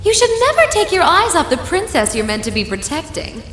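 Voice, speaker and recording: A woman's voice: extremely high pitch, sing-song, a little fast, fairly bright tone, echoey room, big-room sound, a little hiss or hum, exaggerated voice. A woman playing a smug, teasing witch villainess in a video game, with mocking, theatrical politeness. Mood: mocking, amused. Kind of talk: dramatic video game voice acting.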